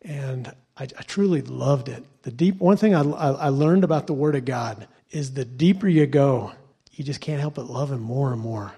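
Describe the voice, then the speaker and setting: Man from ohio, church sermon, dark warm tone, husky, halting with repeated words. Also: mid-range voice. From Ohio, USA. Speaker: guest lay preacher. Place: church before a congregation.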